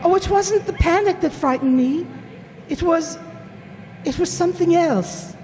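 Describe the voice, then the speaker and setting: A high-pitched woman's voice rings out in a long, stagey reverb. An actress plays a secretive lady spy in an old-time radio drama, frightened and urgent, pleading breathlessly with theatrical intensity. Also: unhurried, darkish tone, a little hiss or hum.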